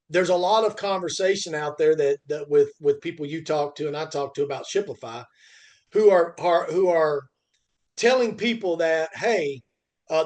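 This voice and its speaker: A man's voice: fairly high pitch, darkish tone. A middle-aged man chatting casually as a podcast host, rambling and often circling back over his phrases. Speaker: podcast host, freight industry veteran.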